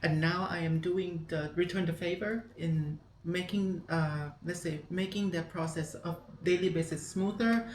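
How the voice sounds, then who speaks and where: Thai woman, low pitched, careful and polite interviewee, roomy echo, faint noise. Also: steady tone, a little slow, hardly any pauses, darkish tone. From Thailand, Bangkok. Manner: polite, careful, searching for words. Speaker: interviewee, charity centre helper. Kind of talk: interview answers.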